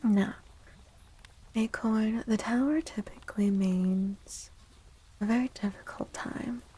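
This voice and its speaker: Female ASMR livestream host. Husky, breathy, often whispered, with a soft warm tone and gentle manner. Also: fairly high pitch. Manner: gentle, unhurried. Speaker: livestream host and tarot reader.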